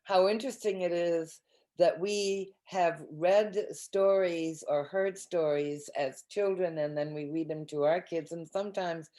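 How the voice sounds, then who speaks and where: A woman's voice: lowish voice, fairly even intonation, a little slow, mellow. A woman taking part in a casual, reflective group discussion, thinking aloud as she talks. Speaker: discussion participant.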